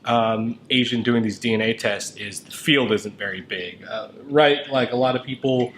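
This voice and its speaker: A man chats informally as a co-host, rambling a little as he goes. His voice sits at an ordinary middle pitch, with some noise behind it. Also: normal speed, fairly bright tone. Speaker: show co-host. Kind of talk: casual chat. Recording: noticeable background noise.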